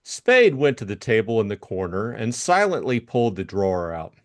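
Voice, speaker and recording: A man's voice: lowish voice, very animated, normal speed. A man narrating a classic detective novel and discussing it as co-host. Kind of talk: scripted story narration and book discussion.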